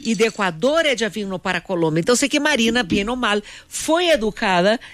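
A woman's voice: a little high, normal speed, slight background noise. A middle-aged Brazilian woman, a native Portuguese speaker, chatting animatedly in Spanish with a Brazilian accent and telling stories. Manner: animated, storytelling. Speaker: co-host. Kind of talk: casual chat.

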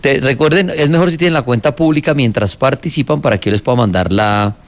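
A Colombian man runs a live stream in a relaxed, slangy manner. His voice sits at an ordinary middle pitch, and he jokes easily with his co-hosts and callers. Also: fast. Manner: loose, joking, slangy. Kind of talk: casual live stream chat.

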